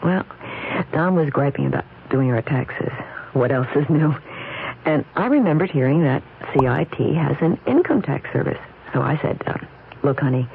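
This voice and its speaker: A wry housewife chatting in a radio ad, with a noticeably creaky voice. She is conversational and a bit hesitant, on a dull old broadcast recording. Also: sits low, a little hiss or hum. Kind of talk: conversational radio commercial acting.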